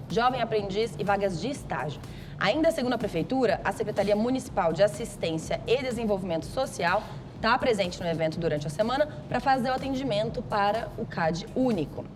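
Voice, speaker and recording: A woman's voice: medium pitch, background noise. A woman with a Brazilian accent presenting news on a morning television show in a conversational style. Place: television studio.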